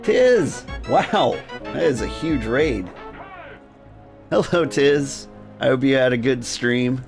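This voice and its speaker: A man hosting a game livestream, switching between jokey, rambling chat and reading game text aloud in a gravelly voice. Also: mid-range voice, expressive, slow. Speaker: game streamer and host. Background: music.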